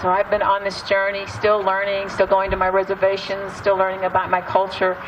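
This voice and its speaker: A woman with an East Texas accent, a storyteller giving an earnest, halting prayer and talk with many restarts. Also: medium pitch, completely flat delivery. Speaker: storyteller and speaker. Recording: distant muffled mic, loud traffic outside.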